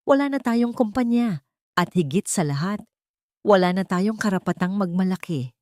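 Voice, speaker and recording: A woman's voice: mid-range voice, moderate pace, a little mellow, no echo, clean studio sound, voice pushed up, natural voice. A woman voicing a bitter, resigned adult son in an audiobook drama, weary and reproachful.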